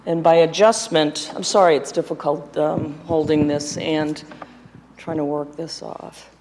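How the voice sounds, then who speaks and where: A workshop instructor walks through a practical demonstration, naming each part and working with tools, joking at their own expense now and then. The voice sits at a middle pitch and carries a long hall reverb with faint background noise, recorded live in the room as the speaker moves about. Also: fairly even intonation, unhurried. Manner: practical, step-by-step explaining while handling tools; chatty with self-deprecating asides. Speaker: window restoration instructor. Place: indoor workshop room before an audience.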